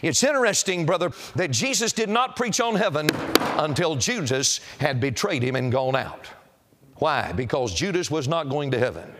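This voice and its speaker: A middle-aged or older male preacher with a high-pitched voice. He delivers an evangelistic sermon to a congregation in an auditorium, emphatic and declamatory, pressing solemn warnings on his listeners. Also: animated, normal speed, fairly bright tone.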